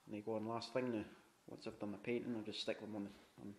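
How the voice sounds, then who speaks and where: A man giving a casual walkthrough of a flat he is renovating, chatty and frugal, swearing casually, recorded on a handheld camera indoors. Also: on the low side, quick pace. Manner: chatty, frugal, swears casually, repeats "you know what I mean". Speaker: home renovator. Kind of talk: walkthrough tour narration. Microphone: handheld camera mic.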